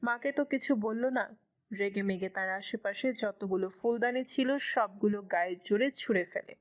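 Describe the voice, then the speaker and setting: A woman's voice: mid-range voice, moderate pace. A woman reading scripted narration as the storyteller of a dramatic romance story.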